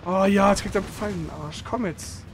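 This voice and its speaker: A man's voice: high-pitched, a little fast, loud music behind the voice. A man playing a video game and commenting casually, grumbling and swearing coarsely as he goes. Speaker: gamer playing and commenting for a channel. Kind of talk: casual let's play chat.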